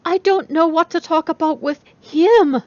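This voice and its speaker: A woman's voice: extremely high pitch, a little slow, darkish tone, no echo, muffled recording, voice pushed up. A woman voicing a troubled, defensive young female student in a story reading, awkward, hesitant and self-conscious. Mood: anxious. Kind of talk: dramatic audiobook reading.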